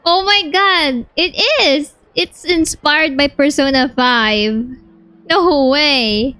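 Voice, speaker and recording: A woman's voice: high-pitched, drawn-out words, sharp tone, no echo. A woman streamer giving casual reaction commentary while playing a video game. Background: game music.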